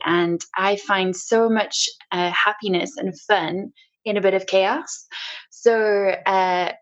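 A woman in her thirties from South Africa, chatting as a podcast guest in a reflective, rambling way with many ums and restarts. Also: medium pitch. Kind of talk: casual podcast chat. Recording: dull, lo-fi sound.

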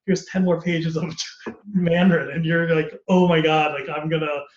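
A man's voice: fairly high pitch, flat delivery, moderate pace, reverberant, dull audio. A man, an actor, talking candidly and casually on a podcast, rambling and laughing often. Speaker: actor guest.

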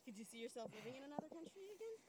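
A woman's voice: high voice, big pitch swings, rapid-fire, a little mellow. A woman hosting a podcast, chatting casually with her guest while out walking outdoors. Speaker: podcast host, interviewer. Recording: outdoor recording with faint background noise.

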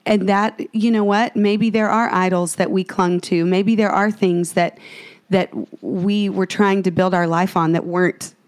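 A woman co-hosting a faith podcast, talking with earnest fervor. She restarts her sentences often and drifts into a preacher-like cadence. Her voice sits at an ordinary middle pitch for a woman. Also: fairly even intonation, a little slow. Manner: fervent, preachy, many restarts. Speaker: podcast co-host.

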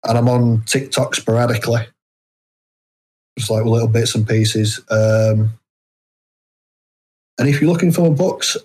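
Hesitant British man, mid-pitched, chatting. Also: fairly even intonation, a little slow, long pauses, a little mellow, husky, rough recording. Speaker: guest, indie horror author. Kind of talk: casual interview chat.

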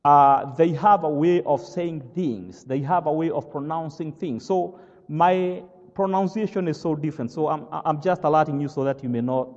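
A man from Kenya with a Kenyan accent, whose first language is not English, preaching a sermon as pastor. He speaks slowly and haltingly, fills pauses with "uh" and keeps asking "all right?". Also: on the high side, darkish tone, long reverb tail, muffled recording. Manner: slow, halting with many "uh" fillers, checks "all right?".

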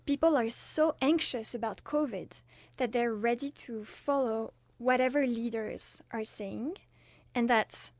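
A young woman from France co-hosts a student radio discussion. She explains ideas at length with ordinary hesitations. Her voice is fairly high, and the recording is close and dry. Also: a little mellow, no echo. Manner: explains with fillers and restarts. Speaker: student co-host. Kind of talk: casual discussion.

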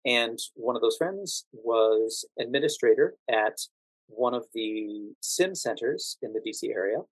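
Middle aged guy being interviewed on a podcast, mid pitch, dry no-echo sound, chatty explainer. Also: husky. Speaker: podcast guest, actor and standardized patient. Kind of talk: conversational interview, explaining and telling anecdotes.

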